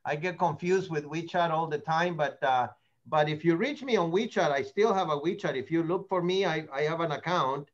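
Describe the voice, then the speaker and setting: Older man opinionated trainer with high voice. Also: normal speed, muffled recording. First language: not English. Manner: opinionated, digressive, repeats words. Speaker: real estate trainer. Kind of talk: rambling training talk. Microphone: meeting recording.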